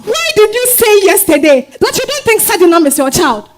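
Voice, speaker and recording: Picture a wronged, defiant young Ghanaian wife and mother acted by a woman in a staged drama reading. Her voice is very high. She speaks emphatically, punctuating her lines with exclamations. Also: very animated, fairly quick, noisy background. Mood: furious. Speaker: stage actor. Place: live staged reading at a festival.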